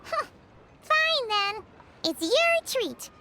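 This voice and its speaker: A woman voicing a tiny, perky, childlike fairy companion in a video game, with a squeaky, bubbly, expressive voice. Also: very slow, very noisy, heavily acted. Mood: grudging, generous. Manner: bubbly, expressive, refers to herself in third person. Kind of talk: video game character acting.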